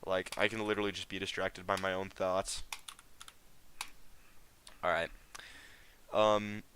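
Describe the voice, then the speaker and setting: Chatty teen guy, slangy and bright. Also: low voice, level tone, unhurried, slight background noise. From United States. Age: teens. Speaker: game streamer. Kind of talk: casual live stream chat.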